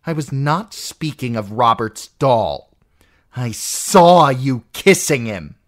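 An audiobook narrator voicing a jealous, unhinged middle-aged noblewoman who accuses in a shrill, spiteful voice that rises into screeching. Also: very animated, slow. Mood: furious. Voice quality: shrill, piercing. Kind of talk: audiobook character voice.

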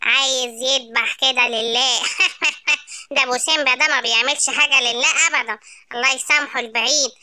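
Cartoon villain: woman as mocking, conspiratorial Egyptian male animal, high register, stretched-out sly phrases. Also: fairly even intonation, fast talker, sharp tone, clear, resonant tone. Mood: puzzled, mocking. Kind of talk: children's animal story acting.

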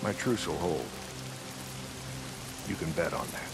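An older American man playing a weary, peace-minded leader of a survivor camp in a game cutscene, speaking plainly and haltingly. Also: low-pitched, creaky, loud music behind the voice. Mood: earnest, firm. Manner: halting, reflective, plain-spoken. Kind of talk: dramatic video game cutscene acting.

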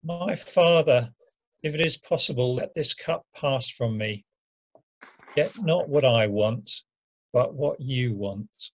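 A man playing a grave, solemn male teacher and prophet in a deliberate scripture drama reading. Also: medium pitch. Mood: sorrowful, solemn. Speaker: amateur reader in church dramatic reading. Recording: dull lo-fi home recording.

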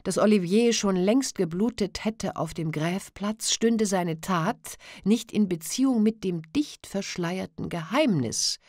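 A woman's voice: medium pitch, unhurried, hardly any pauses, a little mellow, no room sound, studio quality, lightly acted. A woman voicing a stern, suspicious male police president in an audiobook reading.

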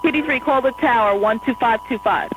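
A woman air traffic controller in an airport tower, giving quick, polite instructions to pilots over the radio. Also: a little high, fairly bright tone. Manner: brisk, polite and apologetic. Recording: noisy radio transmission with hum.

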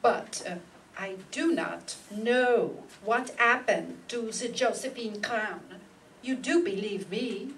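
A woman's voice: mid-range voice, slow pace, fairly bright tone. An older woman playing a haughty young suspect in a radio play, formal and disdainful. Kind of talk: old-time radio acting before an audience. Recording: live stage pickup, distant, background noise.

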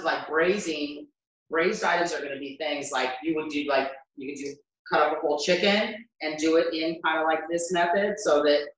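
A man's voice: on the high side, fairly bright tone. An American man in his fifties presents a cooking demonstration in an informal, chatty way. He thinks aloud as he works. His voice is picked up from a distance in a very reverberant kitchen. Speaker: chef hosting a cooking demonstration. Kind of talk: casual live cooking demonstration. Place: home kitchen, indoors. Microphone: camera a few metres away. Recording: very echoey kitchen, distant microphone.

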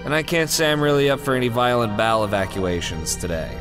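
A man's voice: medium pitch, lively intonation, quick pace, over music, natural voice. A man playing a fearless, sarcastic ghost-hunting scientist in a comic dub, glib and casual with an American accent. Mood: flippant. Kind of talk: comic dub character acting.

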